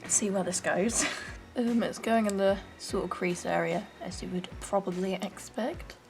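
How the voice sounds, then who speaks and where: A young woman with a croaky voice, chatting casually through her makeup routine in her bedroom, rambling and self-deprecating. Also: mid-range voice, steady tone, measured pace, music in the background. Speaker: beauty vlogger. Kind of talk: casual get-ready-with-me chat.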